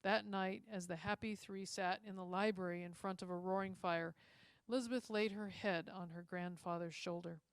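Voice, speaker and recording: A woman reading a story aloud in an expressive storytelling style. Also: fairly low pitch, normal speed, smooth, dry recording. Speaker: story reader.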